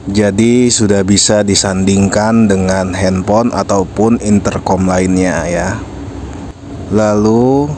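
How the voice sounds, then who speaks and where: A man walks through a product demonstration step by step. His voice is low and his delivery is slow and instructional. There is faint noise behind him. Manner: explanatory, instructional. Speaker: product tutorial presenter. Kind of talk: step-by-step product tutorial narration.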